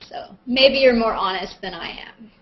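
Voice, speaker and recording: A woman chatting casually and playfully as she hosts a live question-and-answer video call. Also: a little high. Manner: playful, joking, self-mocking. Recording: muffled, lo-fi internet video call.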